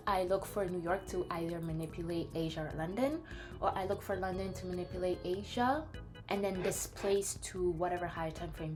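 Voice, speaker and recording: Bubbly young woman, French-born trader. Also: lowish voice. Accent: slight French. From France. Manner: bubbly, scattered, switches into French phrases. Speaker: day trader. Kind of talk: casual vlog, screen walkthrough. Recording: background music.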